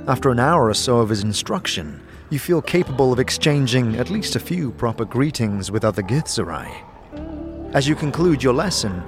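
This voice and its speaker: A man narrating a scripted fantasy story in the second person. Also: mid-range voice.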